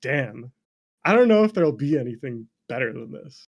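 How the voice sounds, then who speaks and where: A man's voice: mid-range voice, very animated, moderate pace, vocal fry, slightly rough, dull audio. A man in a casual, opinionated chat about video games, rambling and swearing freely as an interview guest. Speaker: interview guest, game developer.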